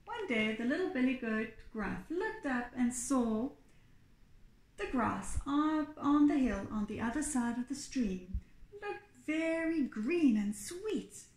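A woman in her thirties, a teacher reading a children's storybook aloud expressively and deliberately. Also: high voice, expressive, a little mellow. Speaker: pre-primary teacher. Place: small bare indoor room. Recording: echoey room.

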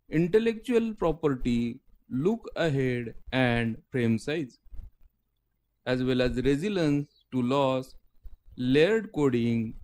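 A man reading a scripted technical lecture in halting phrases, often breaking mid-sentence. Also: mid-range voice, a little mellow. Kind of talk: scripted technical narration read aloud.

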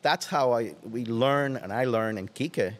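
Relaxed older man with a Puerto Rican Spanish accent. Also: normal speed, long reverb tail. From Puerto Rico. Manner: relaxed, reclining, trailing off into soft low asides. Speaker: guest. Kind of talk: casual interview. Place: large watersports shop, indoors. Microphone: headset.

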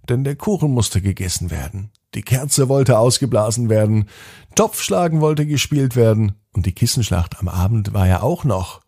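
A man hosting a children's podcast, gently narrating a bedtime story and guiding kids through a stretching routine. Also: lowish voice, gravelly, breathy edge.

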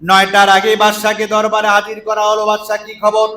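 High-pitched, impassioned preacher man, echoing. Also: monotone, sharp tone. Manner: dramatic, drawn-out chanting calls that drop into low, pleading phrases. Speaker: Islamic preacher. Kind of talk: impassioned storytelling sermon. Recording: echoing PA-style sound.

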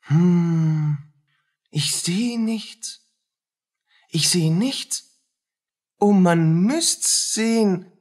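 Radio play casting: a feverish, jealous poor soldier, husky-voiced, unhurried, brooding in broken fragments. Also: male voice, high-pitched, animated, darkish tone, full character voice. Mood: jealous, probing. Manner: halting, brooding, agitated fragments. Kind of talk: German radio play acting.

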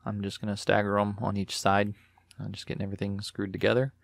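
Low, gravelly middle-aged man narrating. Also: monotone, fast talker, no echo. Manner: matter-of-fact, explanatory, many ums. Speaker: DIY vlogger. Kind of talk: voice-over narration.

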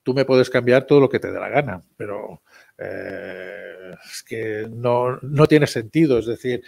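A man's voice: on the low side, a little slow, vocal fry, darkish tone. A man in his fifties from Barcelona, presenting his history book at a table microphone in a library hall, speaking emphatically and repeating words as he argues. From Barcelona, Spain. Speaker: book author.

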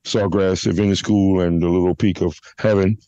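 A man hosting a church podcast, hoarse from a flu, making announcements and leading a prayer with halting restarts. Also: low voice, steady tone, dark tone, dry recording, muffled recording. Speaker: podcast host. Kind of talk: casual church podcast chat.

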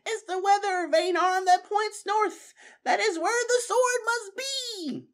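A man's voice: very high-pitched, takes it slowly, fairly bright tone, pitched above the performer's own voice, heavily acted. A man voicing an old male mouse with failing eyes, speaking in a reedy, quavering way. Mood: excited. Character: old male mouse, frail-eyed sage.

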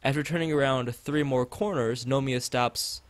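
A man's voice: medium pitch, steady tone, normal speed, dry recording. A man live streaming a visual novel, reading its text aloud and drifting into rambling asides to the chat. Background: background game music.

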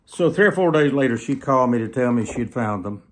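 A man in his seventies preaching through personal stories, speaking earnestly to camera with emphatic, drawn-out phrases. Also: mid-range voice, animated. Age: 70s. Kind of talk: sermon storytelling told to camera. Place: small plain indoor room, seated.

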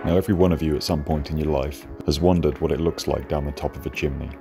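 A man's voice: a bass voice, steady tone, fast, loud music behind the voice. A man in his thirties vlogging from a bush campsite, talking with dry, sarcastic humor. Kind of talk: deadpan camping vlog narration.

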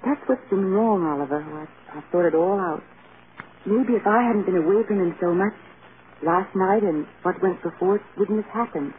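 An actress playing a devoted, anguished film star wife in an earnest, emotional 1930s radio drama style. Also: female voice, medium pitch, normal speed, natural voice. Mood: sad, resolved. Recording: scratchy, tinny 1930s radio broadcast.

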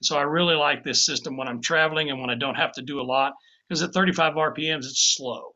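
Man in his sixties, carving teacher, bright easygoing delivery, restarts and repeats words, dull webcam sound. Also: mid-range voice, a little hiss or hum. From Tennessee. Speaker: woodcarving instructor. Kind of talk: casual demonstration talk. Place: home office with shelves. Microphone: webcam on a video call. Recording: dull lo-fi video call audio.

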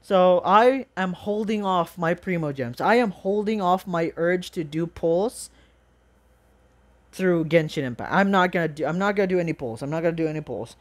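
A reaction video host chatting casually and excitedly in a rambling, exclaiming, informal way. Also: mid-range voice, moderate pace.

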